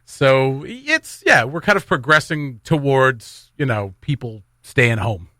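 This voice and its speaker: Bright-voiced male radio host, dry studio sound. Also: mid-range voice, animated, slow pace, no echo. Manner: informal, joking, rambling. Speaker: morning radio show host. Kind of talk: casual radio banter and announcements.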